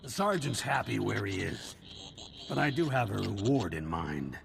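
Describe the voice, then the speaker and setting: Creaky-voiced, decisive military commander. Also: male voice, lowish voice, big pitch swings, moderate pace, full character voice. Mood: commanding. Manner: decisive, formal. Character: brisk, authoritative male military commander. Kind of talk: video game cutscene voice acting. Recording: echoey hall with faint ambient noise.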